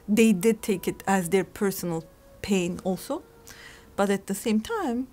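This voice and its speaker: A middle-aged woman historian from Turkey, speaking thoughtfully in an interview and often pausing to find her words. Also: mid-range voice, mellow. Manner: thoughtful, searching for words, frequent restarts. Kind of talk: reflective interview answers.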